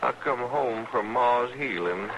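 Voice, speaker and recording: An actor playing a badly wounded, bitter country man with a rural American accent, weak and halting, in an old-time radio western. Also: medium pitch, noisy background, lightly acted.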